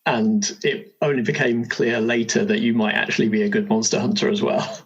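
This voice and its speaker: A man's voice: medium pitch, steady tone, normal speed, breathy edge. A man hosting a casual interview chat at a roleplaying game, asking questions. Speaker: host, compère of character creation session. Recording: slightly dull, lo-fi sound.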